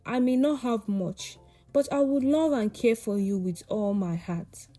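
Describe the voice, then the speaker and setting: A humble young farmer from a folktale, voiced by a woman storyteller. He is earnest and nervous, speaking slowly and sincerely in a mellow tone. Quiet music sits behind him. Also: fairly high pitch. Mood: earnest, tender.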